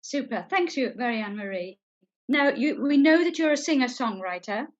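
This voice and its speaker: A woman hosting an interview at a church breakfast, friendly and a bit halting, clearing her throat. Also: on the high side, lively intonation. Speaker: interview host. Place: church hall. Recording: dull lo-fi sound.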